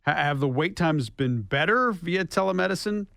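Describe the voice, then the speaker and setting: A man's voice: a little high, animated, moderate pace, gravelly, fairly bright tone, no room sound, clean studio sound. A man hosting a broadcast interview, asking questions in a conversational way. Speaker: radio show host interviewer.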